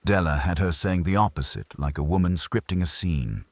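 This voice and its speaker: Really low husky guy reading a noir detective audiobook, deadpan and clipped, dry close sound. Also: moderate pace, no room sound. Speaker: narrator. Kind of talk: scripted audiobook narration of a hardboiled detective story.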